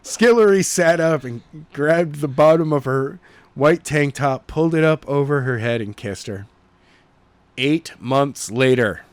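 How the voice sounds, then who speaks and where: Halting male story narrator, dry sound. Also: a little high, clear, resonant tone, no echo. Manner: halting, stumbles over the text. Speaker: amateur audiobook narrator. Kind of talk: story narration read aloud.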